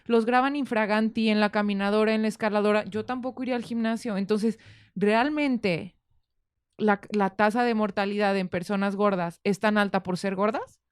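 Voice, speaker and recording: A woman's voice: medium pitch, steady tone, moderate pace, clear, resonant tone, no room sound, clean studio sound. A woman with a Mexican accent hosting a conversational interview, speaking in an informal, reflective way as she works toward her questions. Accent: Mexican Spanish. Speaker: podcast host.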